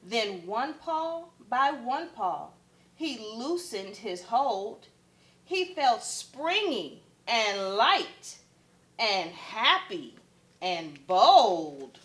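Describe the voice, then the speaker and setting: A woman's voice: fairly high pitch, big pitch swings, extremely slow, a bit bright. A woman, a first grade teacher, reading a picture book aloud expressively for children.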